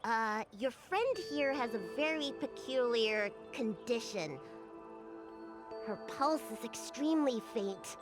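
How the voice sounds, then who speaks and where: A woman voicing an excitable young girl dragon healer in a video game, expressive and easily flustered into stammering. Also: fairly high pitch, takes it slowly. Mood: flustered, concerned. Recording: loud game music behind. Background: game music.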